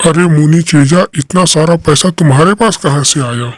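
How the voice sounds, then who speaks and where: A man acts out an accusing male bird in a children's cartoon. The voice sits a little high for a male. The delivery is blunt and scornful. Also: moderate pace, no room sound, lightly acted. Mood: suspicious. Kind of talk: children's cartoon story acting.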